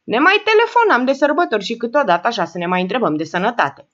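A woman's voice: on the high side, expressive, fairly bright tone, dull audio. A woman reading aloud and voicing a frail, plaintive old woman who rambles on.

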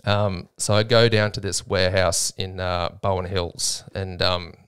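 A man's voice: deep voice, fairly even intonation, a little slow, fairly bright tone, clean tone. An Australian man in his thirties telling his story in a casual interview, chatty with lots of fillers and laughs, on a studio microphone. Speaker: gym co-owner, guest. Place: small podcast studio. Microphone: studio mic with headphones.

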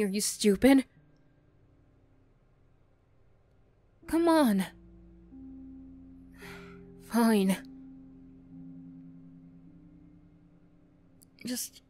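Female actor as flustered ex-bully girl, young adult. ASMR roleplay, slow delivery, bashful stammer, defensive snap. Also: medium pitch, dark tone. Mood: flustered, snappy.

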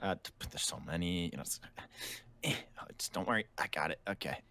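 A man's voice: low voice, fast, vocal fry, bright, forceful tone, exaggerated voice. A man playing a bumbling, evasive young survivor in improvised game roleplay, stammering and hesitant. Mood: flustered, defensive.

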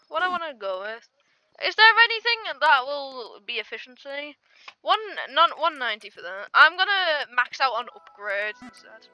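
A woman's voice: a little high, bright, forceful tone, clear, resonant tone, dry recording, rough recording. A young woman giving casual, rambling gameplay commentary with dry, playful asides. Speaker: gaming video host.

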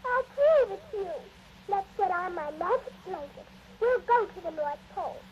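A woman's voice: very high voice, animated, moderate pace. A woman playing a trusting little girl with a small, childlike voice in a 1940s radio drama. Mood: earnest, insistent. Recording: muffled, noisy 1940s radio recording.

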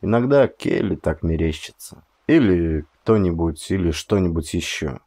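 A man narrating an audiobook in a slow, brooding first-person voice. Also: low voice, animated, warm tone, dry recording, studio quality.

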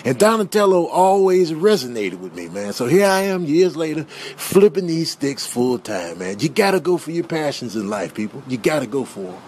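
A man plays a put-upon talking animal for a comedy voice-over. The voice sits a little high, casual and drawn-out. There is faint noise behind it. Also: very animated, darkish tone. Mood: laid-back, earnest. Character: animals: laid-back, whiny, put-upon comic critters.

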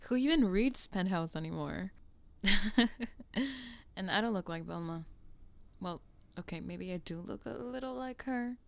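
A woman's voice: mid-range voice, animated, unhurried, creaky, long pauses, voice pushed down. A woman voicing a sweet, nerdy teenage tomboy with a hesitant, earnest manner in an ASMR roleplay. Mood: playful, affectionate. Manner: hesitant, earnest, gently teasing.

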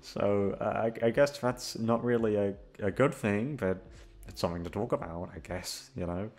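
A man's voice: lowish voice, moderate pace, darkish tone. A young man chatting casually and hesitantly to his listeners, rambling, swearing and putting himself down. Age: young adult. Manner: hesitant, self-deprecating, sweary. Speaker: audio roleplay voice actor chatting to listeners. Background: music.

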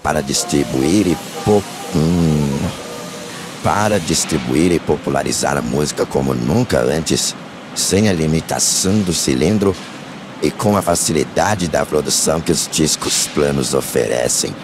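An actor playing a confident, idealistic inventor in a radio drama, speaking in a thoughtful, declamatory way. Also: male voice, extremely low pitch, lively intonation, unhurried, over music. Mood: musing, confident. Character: confident visionary male German-immigrant inventor, idealistic and enthusiastic.

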